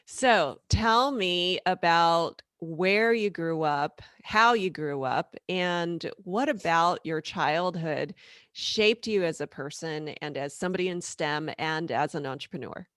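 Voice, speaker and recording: Low-voiced lady host asking questions, calm, encouraging, dry sound. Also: slow pace, no echo. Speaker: podcast host. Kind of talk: interview questions.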